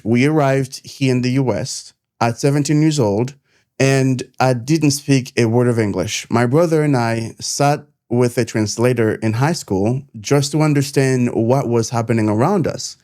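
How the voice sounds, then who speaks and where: A middle-aged man with a Haitian accent, telling his own life story to camera in an earnest, measured way, pausing between phrases. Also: mid-range voice, darkish tone. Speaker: nurse turned content creator.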